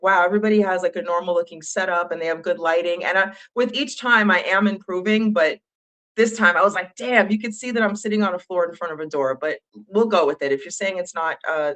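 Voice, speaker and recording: Woman on a panel call, loud bold voice, real open and a bit teary, phone mic clipped to a crate on the floor. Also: on the low side, poor audio. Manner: tearful and candid. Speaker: panelist, animal advocate. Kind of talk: emotional panel talk. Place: on the floor at an animal rescue. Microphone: phone clipped to a dog crate.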